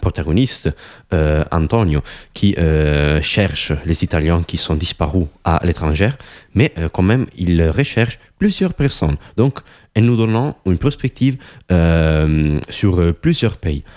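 A man asking long interview questions in a halting way, full of fillers. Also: sits low, expressive, a little mellow.

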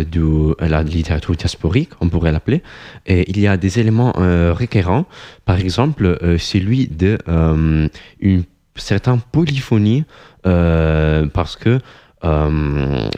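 A man asking long interview questions in a halting way, full of fillers. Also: low-pitched, warm tone.

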